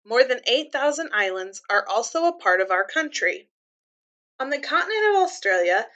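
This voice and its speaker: Woman, higher-pitched, bright voice, teacher's read-aloud, simple clear explanations for young listeners. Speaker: teacher reading a children's book aloud.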